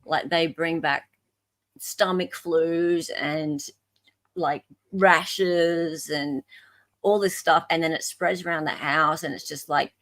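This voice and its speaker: A woman's voice: sits low, steady tone, slow, fairly bright tone. Australian woman, middle-aged livestream host. Informal, chatty and playful delivery. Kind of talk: casual livestream chat.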